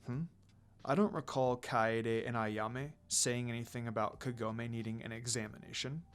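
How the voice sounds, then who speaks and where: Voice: mid-range voice, fairly even intonation, moderate pace, clear, resonant tone, voice pushed down, lightly acted. A storyteller voicing a stern, protective demon husband with a brooding, menacing manner in a fan fiction reading. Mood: suspicious.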